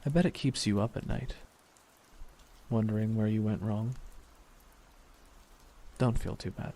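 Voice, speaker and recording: Man acting a low, mellow, grieving supervillain. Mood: pained, taunting. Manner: slow brooding delivery with deliberate pauses. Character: grieving vengeful male supervillain, weary, menacing, softly bitter. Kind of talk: dramatic ASMR roleplay monologue. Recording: steady noise under voice, rain ambience.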